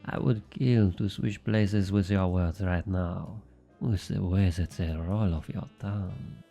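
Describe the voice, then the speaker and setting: An actor playing a smug, flirty thief with a French accent, teasing and coaxing in an intimate ASMR roleplay. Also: male voice, low-pitched, slow pace, creaky, dark tone, soft background music, exaggerated voice. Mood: flirtatious taunting. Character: obnoxiously flirty, smug male thief and information broker.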